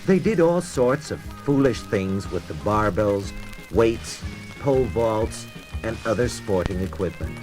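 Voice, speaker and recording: A man narrates a children's read-along record over music. His voice is fairly low and unhurried, with lively rises and falls in pitch. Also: low-quality recording. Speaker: storybook narrator.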